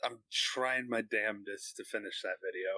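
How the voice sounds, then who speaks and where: Male co-host, bantering, bright forceful tone, dry close recording. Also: on the low side, moderate pace, dry recording. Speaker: podcast co-host. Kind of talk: casual banter.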